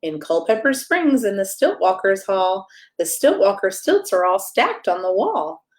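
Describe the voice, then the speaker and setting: A woman's voice: medium pitch, big pitch swings, a little slow. A woman reads a rhyming picture book expressively to children, playfully speaking to her young listeners as she goes. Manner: playful, addressing young listeners directly with questions. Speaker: pastor reading a bedtime story.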